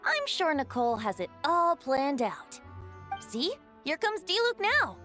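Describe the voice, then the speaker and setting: Easygoing, teasing young game character, lively lilting voice, faint music underneath. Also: female voice, high-pitched, measured pace, exaggerated voice. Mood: playful. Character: playful, easygoing young woman, teasing and curious. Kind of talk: video game voice acting.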